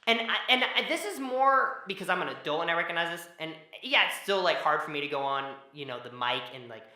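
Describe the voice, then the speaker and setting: A young man with a naturally high, bright voice chats on a podcast, worn out after a long day. He rambles and restarts his sentences, trailing off mid-thought. The room around him rings with echo. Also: animated, fast. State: tired from working all day. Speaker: podcast co-host. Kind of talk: casual podcast chat. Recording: echoey room.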